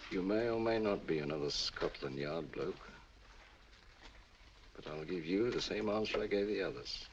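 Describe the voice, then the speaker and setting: An actor playing a bitter, defiant prisoner, speaking dryly and sardonically. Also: male voice, low voice, animated, normal speed. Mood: sardonic. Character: bitter imprisoned male music-box maker. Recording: distant, dull old film sound with noise.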